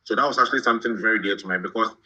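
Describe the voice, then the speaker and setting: Man, from Ghana, podcast guest, halting speech, somewhat low pitch, tense bright tone. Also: lo-fi sound. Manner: halting, repeats words while thinking. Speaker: guest, shoe brand founder. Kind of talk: podcast interview.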